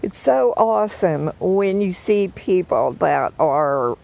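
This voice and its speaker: An older woman with a hoarse, froggy morning voice, chatting gently and affectionately with live viewers from the woods. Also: fairly low pitch, expressive, slow pace. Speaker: vlogger greeting live viewers. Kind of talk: casual live chat. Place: outdoors in the woods.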